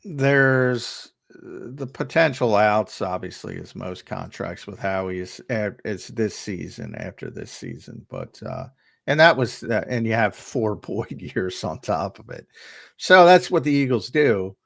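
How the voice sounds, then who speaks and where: A man's voice: fairly low pitch, big pitch swings, a little slow, vocal fry, no echo, studio quality. A man talking as a guest analyst on a sports show, hesitant with lots of fillers, over a remote call. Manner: hesitant, many fillers and restarts. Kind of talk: casual sports talk.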